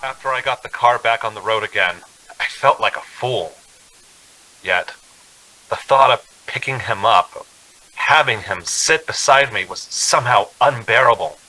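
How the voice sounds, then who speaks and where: A man playing a haunted, increasingly desperate driver in a radio drama, brooding and halting as he tells his story. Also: on the low side, sharp tone, low-quality recording. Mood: lonely dread. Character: haunted, increasingly desperate male motorist narrating his journey. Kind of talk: dramatic radio play narration. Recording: music behind the voice.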